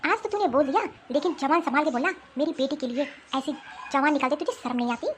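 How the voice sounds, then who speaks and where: Bullying older cartoon mother-in-law, played by a woman: very high, bossy, scornful, scolding. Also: fast, slight background noise, natural voice. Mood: angry scolding. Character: harsh, domineering older mother-in-law who bullies her daughter-in-law. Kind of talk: Hindi cartoon family drama acting.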